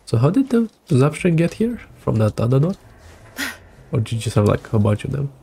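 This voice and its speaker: A man's voice: medium pitch, very animated, brisk, creaky, mellow, a little hiss or hum. A young man who is a bit sick, making laid-back, casual gameplay commentary in muttered asides. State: sick with a cold. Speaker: streamer reacting to a game. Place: small indoor room. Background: game music.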